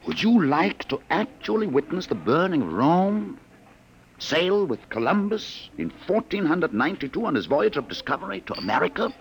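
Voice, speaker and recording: A man playing a suave, menacing government searcher who poses as a genial stranger, mock-polite and insinuating, in old-time radio drama style. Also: on the high side. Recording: vintage radio recording, faint noise.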